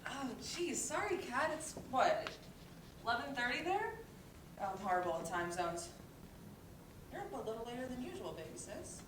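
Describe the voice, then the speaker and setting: A woman's voice: fairly high pitch, very animated, normal speed, pauses often. Woman acting a breezy big sister, roomy distant recording. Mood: flustered, apologetic. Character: breezy, affectionate older sister, playful and chatty. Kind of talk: dramatic play reading. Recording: roomy, distant mic, noisy background.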